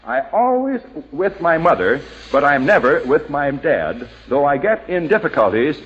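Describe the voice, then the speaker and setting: A man's voice: on the high side, very animated, moderate pace, husky, lo-fi sound. A man hosting a 1940s radio quiz show, jovial and teasing with contestants, on an old broadcast recording.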